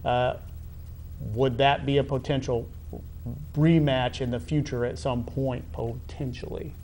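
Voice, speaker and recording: American guy doing a chatty sports interview, mid pitch, wordy and halting, bit of room noise. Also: little pitch movement, darkish tone. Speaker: interviewer, high school athletic director.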